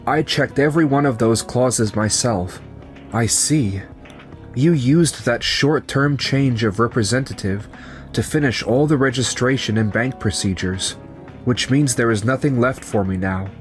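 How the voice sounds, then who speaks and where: Measured young businessman, acted by a man. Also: medium pitch, natural voice. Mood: smug, explaining, then resigned. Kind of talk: romcom manga dub acting. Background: background music.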